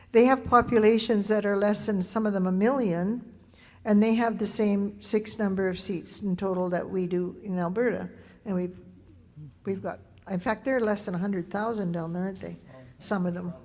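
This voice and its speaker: Panel speaker, woman, mid-pitched, measured and explanatory, reverberant hall. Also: steady tone, a little mellow. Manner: measured, corrects and elaborates points. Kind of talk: explanatory reply at a public meeting.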